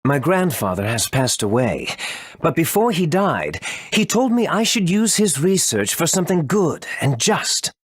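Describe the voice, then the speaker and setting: An apologetic scientist for a video game, kinda formal, very melodic delivery, close dry sound. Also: male voice, on the high side, sing-song, a little slow, breathy edge, no echo, exaggerated voice. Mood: earnest, remorseful. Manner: formal, earnest, explanatory. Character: apologetic, earnest male scientist, grandson of a villain. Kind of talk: English video game voice acting.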